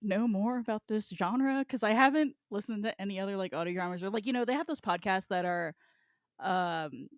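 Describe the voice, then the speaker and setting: A woman's voice: medium pitch, fairly quick, no echo, clean studio sound. A woman co-hosting a podcast in casual chat, with an irreverent, slangy, joking way of talking. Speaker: podcast co-host.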